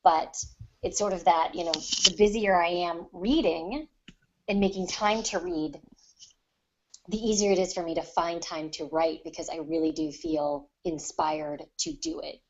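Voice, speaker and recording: A woman's voice: lowish voice, measured pace, muffled recording. A woman on a podcast panel talking casually and reflectively about her craft, explaining things at length. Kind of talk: casual discussion of writing craft.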